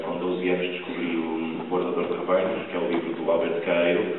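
Young male actor, deep voice, earnest, distant tinny theatre sound. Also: slight background noise. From Porto, Portugal. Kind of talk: talk to a theatre audience. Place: large theatre stage. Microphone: far camera, room sound. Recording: distant, echoey, tinny hall recording.

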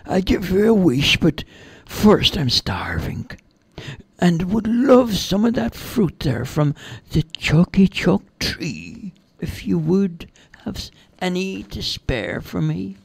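A storyteller plays a tiny storybook fairy, grumpy and greedy for treats. The voice is high and whiny, dragging slowly and reluctantly through its bargaining. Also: big pitch swings, creaky, breathy edge, no room sound, full character voice. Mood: nervous, wheedling. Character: small, grumpy, chocolate-greedy captured fairy.

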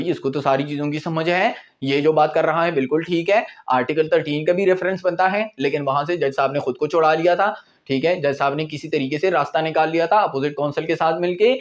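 Male lecturer, twenties, Pakistani accent, fairly high voice, informal explanatory delivery with English terms mixed in. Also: a little fast. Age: 20s. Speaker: law lecturer. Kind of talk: informal lecture with acted-out examples. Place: small indoor room in front of a whiteboard.

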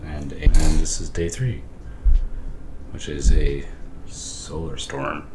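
Hoarse thirties man, very deep, excited rambling host, roomy room with faint hiss. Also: slow. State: lost voice, hoarse. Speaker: stationery vlogger. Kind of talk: casual show-and-tell. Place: home study with lamps and bookshelves.

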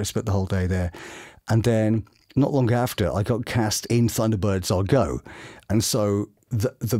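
A middle-aged man with a British accent telling anecdotes in an expressive, enthusiastic way as an interviewed voice actor. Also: lowish voice. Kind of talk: animated anecdotal interview chat. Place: small home studio room. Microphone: boom-mounted studio microphone.

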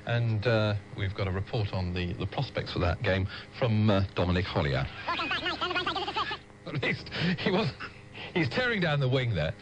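A man's voice: on the low side, very animated, moderate pace, dull audio, heavy background noise. A man presenting live radio, ad-libbing in a hesitant, stumbling way.

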